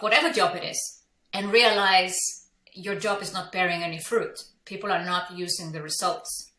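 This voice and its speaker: A woman's voice: mid-range voice, sharp tone, echoey room. A woman from Iceland, a business coach and entrepreneur, telling her story candidly in an interview, with frequent fillers and restarts.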